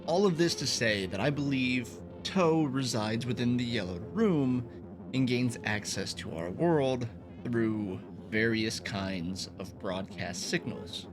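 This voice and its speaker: A man's voice: medium pitch, animated, slow. A man hosting a video essay, reading a scripted, analytical explanation. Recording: voice over background music. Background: background music.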